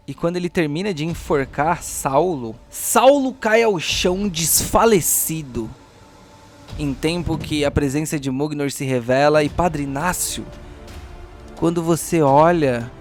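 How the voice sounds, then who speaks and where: A man's voice: medium pitch, a little mellow. A man with a Brazilian accent narrating a tabletop role-playing game as game master.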